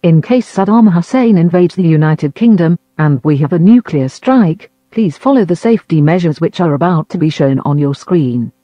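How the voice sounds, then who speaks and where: Guy doing a formal emergency tv announcement, mellow highish voice, dull lo-fi sound. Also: animated, no room sound. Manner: formal, measured. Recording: dull lo-fi audio.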